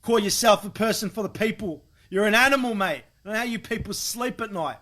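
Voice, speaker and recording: A man's voice: high-pitched, fairly quick, bright, forceful tone. A man on an angry, profane rant, indignant and emphatic.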